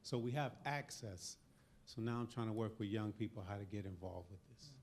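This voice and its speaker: A man's voice: fairly low pitch, a little fast, darkish tone. An older man from Oakland, California, asking an earnest, eager question from the audience at a panel. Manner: earnest, eager, self-deprecating.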